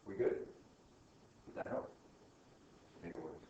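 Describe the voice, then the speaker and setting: Male teacher, conversational explainer who checks understanding; muffled, roomy recording. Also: unhurried. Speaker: finance teacher. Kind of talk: informal classroom explanation, thinking aloud. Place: indoor classroom. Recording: echoey room, distant mic, muffled, faint noise.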